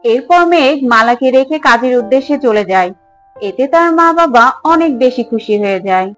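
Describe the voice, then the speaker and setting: A woman's voice: on the high side, animated, moderate pace, a bit bright. A woman narrating a scripted moral tale in storybook style. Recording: music bed under the voice.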